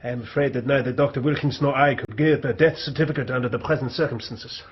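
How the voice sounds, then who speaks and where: An actor playing a grave, authoritative doctor, formal and commanding, in a radio drama. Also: male voice, mid-range voice, steady tone, quick pace, slightly rough, dull audio, lightly acted. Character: grave, authoritative foreign doctor, a poisons specialist.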